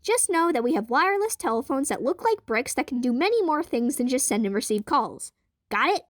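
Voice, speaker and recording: A woman's voice: sits high, big pitch swings, a little fast, no room sound. Woman acting a dry-witted, practical young woman. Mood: matter-of-fact.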